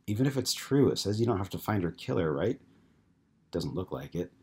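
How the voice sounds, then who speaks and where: A man's voice: low-pitched, very animated, brisk, darkish tone, husky, full character voice. A man reading the lines of a defiant, suspicious wife in a challenging tone.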